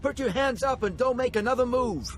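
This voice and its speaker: A man's voice: extremely high pitch, a little fast, soft background music. A man voicing an officious guard captain in a video game. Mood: officious bluster. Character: officious male guard captain, secretly deferential.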